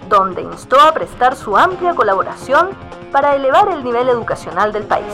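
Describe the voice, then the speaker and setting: An actress plays a 1970s newswoman in a radio drama. Her high voice moves animatedly through a formal, measured bulletin. Music is prominent underneath. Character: formal female radio newsreader of 1970s Chile. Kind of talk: scripted news bulletin.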